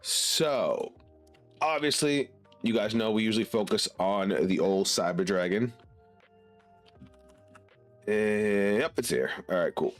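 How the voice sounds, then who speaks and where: A man's voice: lowish voice, takes it slowly, pauses often, faint music behind. A man streaming casually to his chat while congested and sick, laid-back and swearing now and then.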